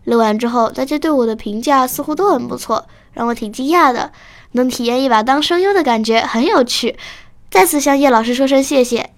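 A woman's voice: sits high, a little fast. A female children's story podcaster giving a thank-you speech.

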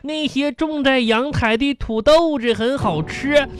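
Zhuangzhuang, a slow, boastful little schoolchild. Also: female voice, high voice. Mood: earnest reciting. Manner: childish, halting, hesitant recitation. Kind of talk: broadcast radio comedy acting. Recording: music behind the voice.

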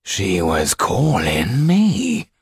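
A man voices a gruff, blustering storybook rogue, slow and low in his husky register, with threats never far off. Dry and close. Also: huge pitch swings, no echo, full character voice. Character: gruff, menacing male rogue with glass eye.

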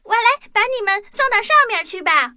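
A woman playing an excitable, curious small child in a children's audio drama, exclaiming in a wide-eyed, playful way. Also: very high voice, a little fast, a little hiss or hum, heavily acted. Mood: eager, playful.

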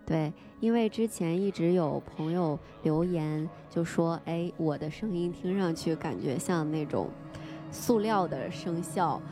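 A woman's voice: lowish voice, unhurried. Woman co-hosting a podcast over music. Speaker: podcast co-host. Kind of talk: casual podcast chat. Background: music behind the voice.

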